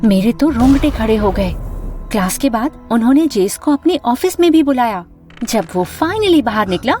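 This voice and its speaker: A woman voicing a lovestruck, anxious young student who tells her animated story in an expressive, confiding way. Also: high-pitched. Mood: uneasy. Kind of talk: animated first-person story narration and dialogue. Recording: music bed under the voice.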